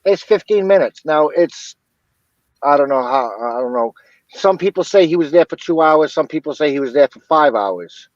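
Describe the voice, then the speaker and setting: Higher-voiced man, dull lo-fi sound. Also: animated, moderate pace, dry recording. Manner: rambling, repeats himself. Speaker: interview guest. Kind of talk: casual commentary on a crime case.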